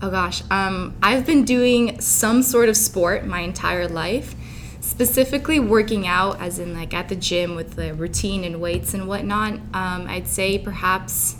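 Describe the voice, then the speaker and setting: Young woman guest chatting casually, outgoing, bit of rumbly noise behind her. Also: measured pace. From Canada and Dubai. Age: young adult. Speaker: interview guest. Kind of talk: casual chat. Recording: clear background rumbling noise.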